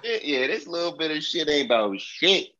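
A man's voice: fairly high pitch, big pitch swings, moderate pace, muffled recording, crowd noise. A man hosting a casual sports podcast, congested from a cold, talking in a brash, profane, joking way. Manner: brash, profane, jokey trash talk. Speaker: podcast host.

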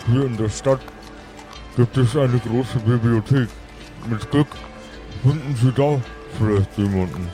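A man voicing a frail old undead townsman in a tabletop audio play, halting, polite and helpful. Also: mid-range voice, over music, close to an everyday voice. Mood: mild, helpful.

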